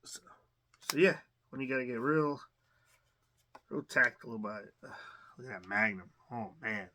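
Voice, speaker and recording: A man's voice: mid-range voice, normal speed, slight background noise. A man hosting an unboxing video, talking casually with lots of fillers and restarts and a slight cough. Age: adult. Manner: relaxed, rambling, many fillers and restarts. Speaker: unboxing channel host.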